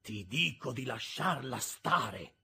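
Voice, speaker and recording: Audiobook role: fair, unruffled smith, read by a man, slow firm delivery, very husky. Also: medium pitch, exaggerated voice. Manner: slow, plain, steady. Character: calm, firm, fair-minded blacksmith master. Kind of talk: audiobook character voice.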